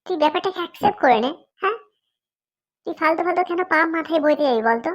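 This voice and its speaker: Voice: extremely high pitch, expressive, quick pace. An actor voicing an indignant, opinionated friend in a comedy cartoon. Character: indignant, opinionated friend who scolds and persuades.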